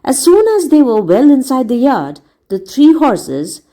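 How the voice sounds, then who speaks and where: A woman reading a story aloud as a teacher, in a deliberate, explanatory manner. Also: high-pitched, very animated, dark tone. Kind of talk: read-aloud narration with word explanations.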